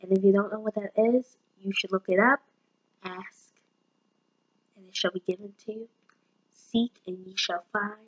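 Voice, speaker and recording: A woman's voice: mid-range voice, fairly even intonation, normal speed, long pauses, a bit bright, slightly rough, muffled recording. A nineteen-year-old woman chatting in a casual home vlog, bubbly and chatty and slipping into sung phrases, recorded on a webcam in a small room. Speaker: vlogger.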